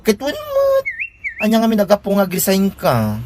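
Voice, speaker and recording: An actress with an Ilocano accent playing a gossipy, skeptical, sharp-tongued woman in a comedy radio drama. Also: medium pitch, wildly swooping pitch, normal speed.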